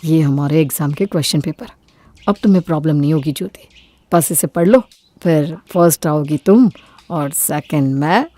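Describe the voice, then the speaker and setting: Woman acting an earnest, lowish-voiced college boy. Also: moderate pace, dark tone, no room sound. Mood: reassuring. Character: earnest, devoted young male college student in love.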